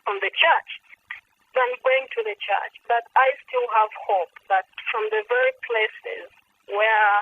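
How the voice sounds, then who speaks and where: A woman with a Ugandan accent speaks earnestly in the manner of an activist, often restating a phrase for emphasis. Her voice is fairly high for speech yet comes through a thin, narrow telephone line with some noise on it. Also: lively intonation, slow. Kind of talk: earnest advocacy talk on a conference call. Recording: tinny, noisy phone conference line.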